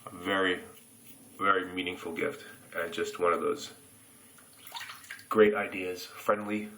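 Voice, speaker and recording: An American man telling a childhood story in a relaxed, reflective way while shaving, recorded on a phone camera in a bathroom. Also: deep voice, a little hiss or hum. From United States. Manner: reflective, unhurried anecdotes with pauses. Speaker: shaving reviewer.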